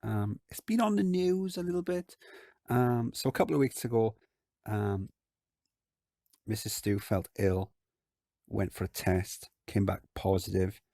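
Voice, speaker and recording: A man with a croaky voice, hosting a casual game commentary and rambling with lots of filler words. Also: fairly low pitch, unhurried, pauses often, dark tone, no echo, clean studio sound. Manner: rambling, lots of ums and likes. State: croaky voice from illness. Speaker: gaming channel host. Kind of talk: casual let's-play commentary.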